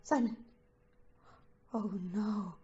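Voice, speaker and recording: A woman's voice: mid-range voice, very slow, warm tone. A woman acting an anxious, fragile, pleading young newlywed in an audio play. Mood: distressed. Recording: dull, muffled lo-fi.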